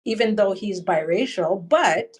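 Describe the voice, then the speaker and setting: A woman from Canada calls in to a livestream and talks at length in a chatty, rambling way. She keeps checking in with okay and right as she builds her point. Her voice sits at an everyday middle pitch. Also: expressive, measured pace. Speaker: caller. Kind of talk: call-in discussion.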